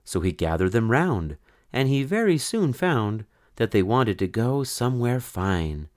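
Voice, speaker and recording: A man reading a children's story in verse aloud, with an expressive, measured, sing-song delivery. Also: mid-range voice, no room sound, studio quality. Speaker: storybook reader.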